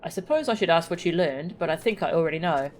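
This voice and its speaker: A woman's voice: on the low side, lively intonation, a little hiss or hum, natural voice. Woman voicing an earnest, formal, scholarly game companion. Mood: thoughtful, cautious. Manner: formal, archaic, measured. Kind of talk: reading video game dialogue aloud.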